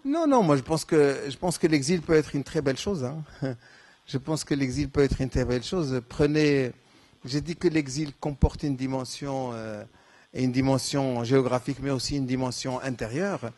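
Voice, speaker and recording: A man from Morocco, Darija his mother tongue, answers audience questions at a conference. He speaks in a hesitant, reflective way, full of fillers and restarts, at a middling pitch. The sound is dry and close. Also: normal speed, a little mellow, dry recording. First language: Moroccan Arabic (Darija). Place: lecture hall.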